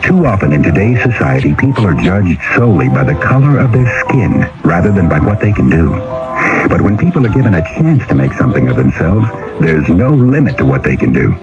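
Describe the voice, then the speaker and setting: A man's voice: low-pitched, very animated, fairly quick. A male announcer reading a scripted public service message in an earnest, emphatic manner. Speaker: radio announcer. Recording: dull old radio broadcast, music behind.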